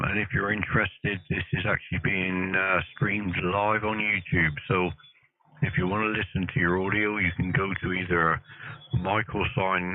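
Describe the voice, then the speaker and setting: A man's voice: low voice, no echo. A man from Plymouth, England, operating a ham radio station in a friendly, chatty way. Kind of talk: casual ham radio chat. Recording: phone-like narrow band.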